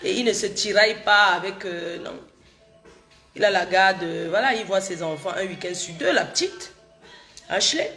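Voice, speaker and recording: A woman's voice: mid-range voice, expressive, moderate pace, bright, forceful tone. A chatty middle-aged woman talks loosely to her livestream viewers. She has a cold, circles back over her points and answers comments as they come in. Faint music plays in the background. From Cameroon. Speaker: social media livestreamer. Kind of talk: casual live chat with viewers.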